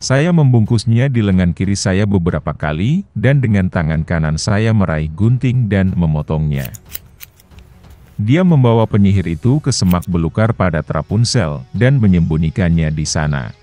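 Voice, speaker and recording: A man with a very low, dark and mellow voice reads a children's bedtime story aloud. His delivery is calm and even, with soft music playing faintly underneath. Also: normal speed. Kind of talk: scripted bedtime story narration.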